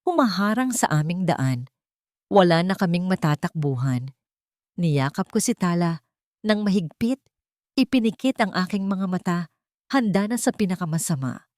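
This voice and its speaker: A woman's voice: lowish voice, darkish tone, dry recording, clean studio sound. A woman reading a dramatic first-person story as a scripted audiobook narration.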